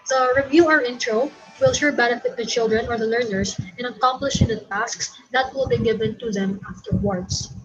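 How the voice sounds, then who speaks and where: A woman's voice: fairly high pitch, highs cut off. A young Filipino woman, a native Tagalog speaker, presenting a recorded class lecture in an explanatory way, often correcting herself mid-sentence. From Philippines. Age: young adult. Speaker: student presenter.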